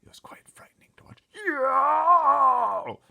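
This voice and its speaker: A man's voice: low-pitched, lively intonation, normal speed, gravelly, slightly rough, dry recording, narrow, tinny audio. A man reading a children's story aloud as dramatic narration, theatrical and suspenseful, often dropping to a whisper. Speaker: storybook reader.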